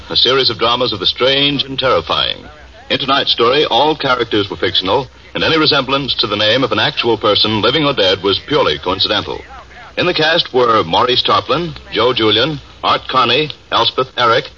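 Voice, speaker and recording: A man's voice: mid-range voice, big pitch swings, a little fast, pushed and bright, recorded from a distance, highs cut off. A male radio announcer reading the closing credits in a formal broadcast style. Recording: old radio broadcast over music, off-mic.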